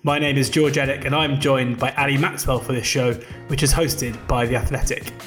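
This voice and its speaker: A man hosting a podcast, reading a brisk scripted intro and then chatting playfully. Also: mid-range voice, fairly even intonation, a bit bright, soft background music. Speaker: podcast host.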